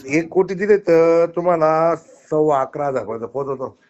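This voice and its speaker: A man's voice: fairly high pitch, normal speed, a little mellow. A man with a Maharashtrian accent, chatting casually on a phone call. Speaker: caller.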